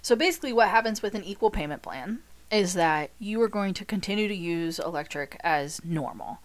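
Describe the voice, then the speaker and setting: A woman's voice: medium pitch, lively intonation, a little fast. A woman in her thirties hosting a podcast as a money coach, talking chattily with jokey asides, a little nasal from a cold. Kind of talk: solo podcast talk.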